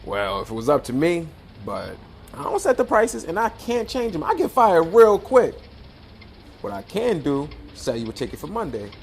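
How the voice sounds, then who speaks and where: A man's voice: fairly high pitch, huge pitch swings, moderate pace, creaky, slight background noise, full character voice. A man playing a folksy, laconic older ticket agent in a radio play. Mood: matter-of-fact.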